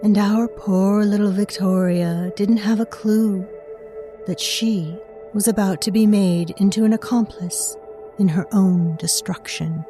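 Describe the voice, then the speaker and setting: A woman playing a mysterious narrator, telling a dark fairy tale in a measured, dramatic way for an audio drama. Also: mid-range voice, steady tone, close to an everyday voice. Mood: ominous. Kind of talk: scripted dark storytelling narration. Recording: music behind the voice.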